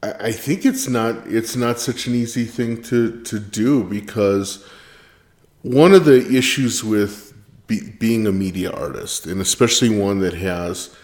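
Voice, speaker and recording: A man's voice: fairly low pitch, measured pace, a little mellow. A man hosting a podcast, asking questions in a curious, conversational way. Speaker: podcast host. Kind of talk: casual interview. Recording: echoey room.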